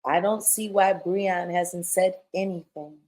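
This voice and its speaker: A woman's voice: fairly low pitch, a little slow, darkish tone. A woman speaking as a guest in a conversational interview, pointed and emphatic.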